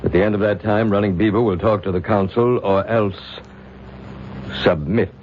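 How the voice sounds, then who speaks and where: Man acting a low, stern council chief. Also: steady tone, natural voice. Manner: formal, measured, authoritative. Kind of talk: 1970s radio drama acting. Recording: noisy, dull old radio broadcast recording.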